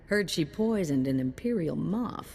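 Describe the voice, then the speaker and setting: Folksy low-voiced bounty handler. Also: female voice, expressive, a little slow, vocal fry, warm tone, slightly rough, big-room sound, heavily acted. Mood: brisk, pleased. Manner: folksy, colloquial.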